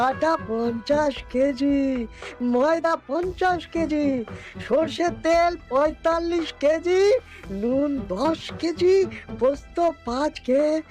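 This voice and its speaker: A woman as a high-pitched harried village grocer. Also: a little mellow. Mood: labouring. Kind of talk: cartoon dialogue.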